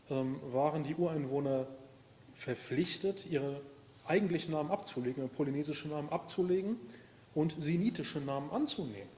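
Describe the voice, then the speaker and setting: Male panelist, measured and explanatory, long hall reverb. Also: mid-range voice, a little mellow. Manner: explanatory, measured, with hesitation fillers. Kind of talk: panel discussion. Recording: echoey hall with long reverb, faint noise.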